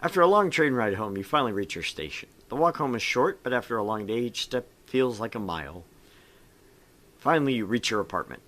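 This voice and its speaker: Streamer-narrator: casual read-aloud of a visual novel, mid-range voice, lively intonation, joking storyteller delivery. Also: medium pitch, a little fast. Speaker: game show host and narrator.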